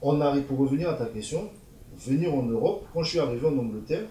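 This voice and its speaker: A man's voice: mid-range voice, expressive, fast, warm tone, slight background noise. A man who grew up in Congo, talking as a guest in a casual discussion, thoughtful and hesitant, with many fillers and restarts. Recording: roomy, distant from mic.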